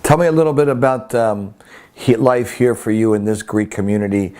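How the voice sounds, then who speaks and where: A man's voice: on the low side, brisk, a little mellow. Man hosting an interview. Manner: prompting, conversational questions. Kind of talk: interview host.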